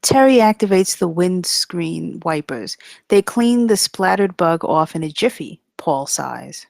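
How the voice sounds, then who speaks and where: Slow-paced, low-voiced woman narrator. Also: lively intonation, gravelly, darkish tone, dry recording. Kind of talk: scripted narration of comedy screenplay.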